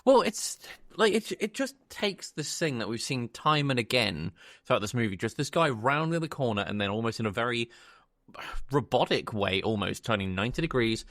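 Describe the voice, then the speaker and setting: A man's voice: mid-range voice, expressive, normal speed, fairly bright tone, no room sound, studio quality. A man hosting a podcast, giving casual film commentary in a conversational way, rephrasing as he goes. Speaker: podcast host.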